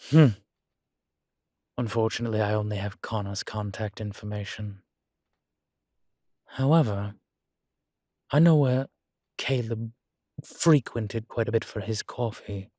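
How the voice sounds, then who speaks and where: A man playing a guilt-ridden, gentle and wry ancient vampire in an intimate audio roleplay, speaking in a halting, reflective way. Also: on the low side, no echo, clean studio sound. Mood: resolved, courteous. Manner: halting, reflective, with sighs and soft chuckles. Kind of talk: intimate one-sided audio roleplay acting.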